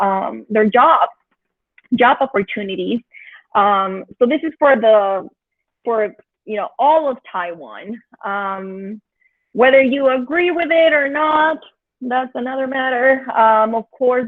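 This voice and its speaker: A woman's voice: fairly high pitch, no room sound. A woman from Mexico with a Mexican accent, leading an online teaching workshop for teachers in a chatty, encouraging way with plenty of filler sounds. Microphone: video call. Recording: tinny, dry video-call audio.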